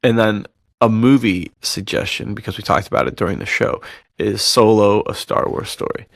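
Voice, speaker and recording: A man with a fairly low voice and a lot of gravelly fry, recorded close and dry. He talks like a podcast co-host in loose, slangy, buddy-to-buddy chat. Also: normal speed, no room sound. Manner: bro-ish slangy talk.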